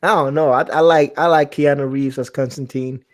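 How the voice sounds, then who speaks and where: A man's voice: on the high side, steady tone, a little fast. A man with a Puerto Rican accent co-hosting a casual livestream chat, reading out viewer messages and rambling with many restarts.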